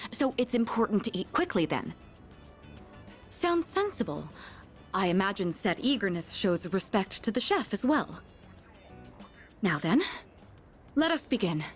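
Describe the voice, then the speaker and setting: A polite, well-bred young woman from a video game, with a lively, melodic delivery. She is earnest and naively curious, choosing formal words and the odd French phrase. Faint music plays behind her. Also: medium pitch, measured pace, long pauses. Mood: earnest, unsure, then delighted, polite.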